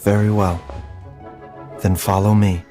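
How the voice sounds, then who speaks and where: An actor playing a calm, courteous physician in a video game, speaking in a measured, formal and deliberate way. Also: male voice, lowish voice, close to an everyday voice. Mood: solemn. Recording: faint game music behind.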